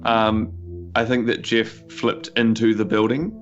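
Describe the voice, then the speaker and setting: A man with a New Zealand accent improvising his part in a tabletop game, halting, wry and wordy. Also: fairly low pitch, flat delivery, muffled recording. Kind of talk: casual improvised storytelling. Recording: music playing behind the voice. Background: background music.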